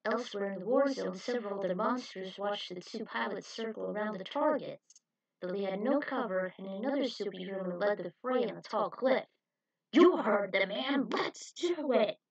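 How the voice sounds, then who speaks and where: A woman's voice: mid-range voice, fairly quick, vocal fry, husky, rough recording. A woman reading scripted narration of an action story as its narrator. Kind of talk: scripted fan fiction narration.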